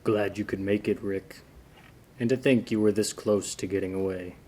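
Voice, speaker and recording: A man's voice: lowish voice, steady tone, normal speed, darkish tone, natural voice. A man acting a vengeful, condescending masked vigilante in a film script read. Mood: menacing. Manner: condescending, menacing.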